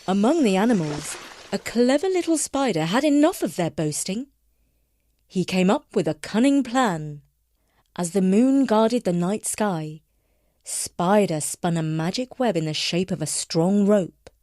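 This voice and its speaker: A woman narrating a scripted children's story in an expressive, dramatic storytelling style. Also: medium pitch, a little slow, no room sound, studio quality.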